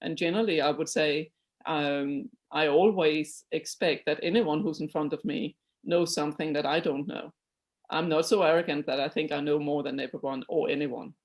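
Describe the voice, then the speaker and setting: A woman's voice: sits low, fairly even intonation, moderate pace, a little mellow. A middle-aged woman from Denmark, a board chair answering webinar questions over a video call in an earnest, emphatic way with frequent restarts. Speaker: guest speaker, board chair.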